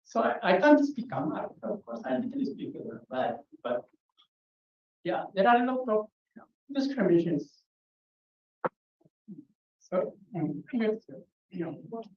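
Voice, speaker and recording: A woman from Ethiopia with an Ethiopian accent, giving an unscripted conference talk in a halting way, full of restarts and fillers. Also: mid-range voice, very animated. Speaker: conference speaker. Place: conference hall. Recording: muffled, echoey, distant from the mic.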